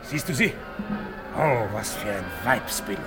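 Lustful, swaggering soldier, music blaring. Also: male voice, lowish voice, animated, measured pace. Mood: lustful, excited. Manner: coarse, exclamatory. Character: swaggering, lustful military man. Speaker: actor. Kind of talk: theatrical radio drama. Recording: loud music behind.

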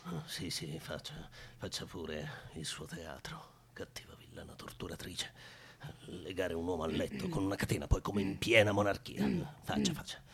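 Husky, deep, stammering captive man. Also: animated, normal speed, fairly bright tone, heavily acted. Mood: muttering, resentful. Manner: stammering, hesitant. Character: flustered, exasperated captive man, timid and pleading. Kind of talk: stage-style radio drama acting.

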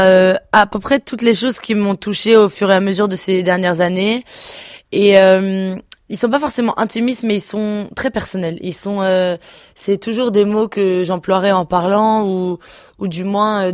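A woman in her twenties, a singer answering interview questions casually, pausing often with fillers and restarts. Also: mid-range voice, fairly even intonation, dry recording, clean studio sound. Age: 20s. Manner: hesitant, many fillers and restarts. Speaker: singer guest.

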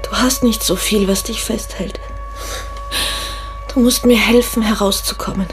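An actress playing a pleading upper-class young wife in an intimate radio drama, urgent and breathy, often dropping to a whisper. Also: female voice, medium pitch, fairly even intonation, a little slow, over music, natural voice. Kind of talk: intimate German radio play acting.

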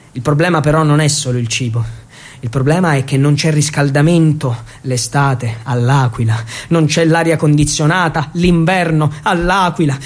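A man delivering scripted theatrical narration, emphatic and dramatic, with deliberate pauses. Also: on the high side. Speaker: actor and storyteller.

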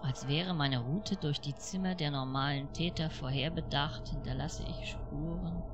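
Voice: mid-range voice, measured pace, lightly acted. A performer playing a cold, vengeful angel in a poetic radio-play monologue, solemn, declamatory and sorrowful. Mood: grim. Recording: roomy echo with music behind. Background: music.

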